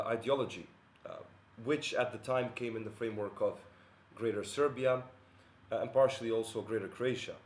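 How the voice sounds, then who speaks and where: Earnest man in an echoey room. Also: mid-range voice. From Bosnia and Herzegovina. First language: Bosnian. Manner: earnest, measured, many pauses and "uh" fillers. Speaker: video commentator. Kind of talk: monologue to camera.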